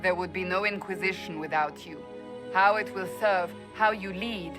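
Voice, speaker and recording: A woman plays a resolute advisor of a holy order, earnest and firm as she presses her point. Her voice sits on the low side, with soft game music under it. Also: fairly even intonation, normal speed, lightly acted. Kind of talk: video game cutscene dialogue. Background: faint game music.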